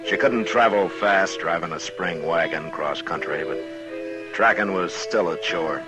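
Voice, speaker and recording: A very low-pitched steady frontier lawman, speaking in terse, measured lines with quiet command. It is old-time radio western acting, with music under it. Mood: grave narrating. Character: stern, steady male frontier marshal. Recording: vintage radio drama with music bed.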